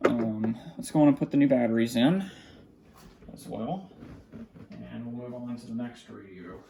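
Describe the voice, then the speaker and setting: Guy w gravelly fry, mid pitch, talking casually to himself while tinkering, bit of background noise. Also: warm tone. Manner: muttering to himself as he works. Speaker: hobbyist vlogger showing a radio collection. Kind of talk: casual unscripted hands-on commentary. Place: small indoor room at a cluttered desk.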